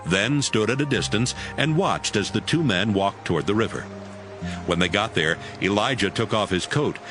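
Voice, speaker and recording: A male narrator tells scripture as a dramatized story. His voice is fairly low and highly expressive, with music playing clearly beneath the reading.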